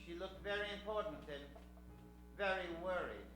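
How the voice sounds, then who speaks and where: A woman's voice: fairly low pitch, expressive, moderate pace. A woman narrator telling an improvised story in old-time radio style. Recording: roomy, faint music behind.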